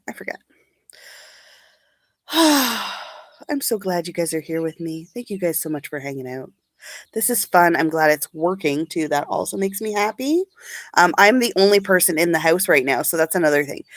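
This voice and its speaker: A woman's voice: fairly low pitch, dry recording. Sniffly chatty woman vlogger, dry sound. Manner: chatty, rambling, reads and answers live comments. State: sniffly from allergies. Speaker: beauty vlogger. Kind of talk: casual livestream chat.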